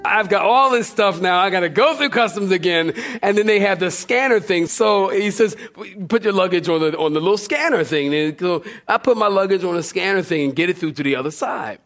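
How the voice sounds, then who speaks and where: A man, a pastor preaching through animated, humorous, self-deprecating storytelling, acting out dialogue as he goes. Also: sits high, a little fast, hardly any pauses, soft background music. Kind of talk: sermon storytelling with re-enacted dialogue. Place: church, recorded live.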